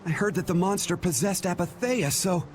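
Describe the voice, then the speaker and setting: A man's voice: high-pitched, moderate pace, slight background noise, close to an everyday voice. A man playing a rash, defensive young guild heir in a video game. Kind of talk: video game dub acting.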